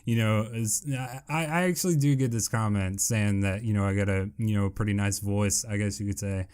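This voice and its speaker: A young man with a deep voice answering viewer questions in a casual, rambling way, full of fillers. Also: steady tone, moderate pace, darkish tone, clean tone. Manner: rambling, heavy with fillers and "I guess you could say". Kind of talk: casual Q&A chat.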